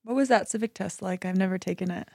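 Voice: mid-range voice, fast, gravelly, dark tone, no echo, studio quality. A podcast host asking friendly, curious follow-up questions in a casual interview.

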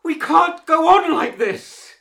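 A man acting a worn-down British husband telling his tragic story in a radio-style drama. His voice is husky and full of gravel, heavy with grief and exasperation. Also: sits low, unhurried, heavily acted. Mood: exasperated, shouting. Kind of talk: dramatic first-person monologue.

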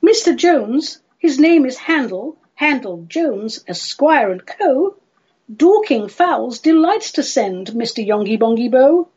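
A woman voicing a sorrowful, fretful married lady in a comic poetry recital, theatrical, plaintive and drawn-out. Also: high voice, very animated, darkish tone, breathy edge, heavily acted. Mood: apologetic. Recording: dull lo-fi recording.

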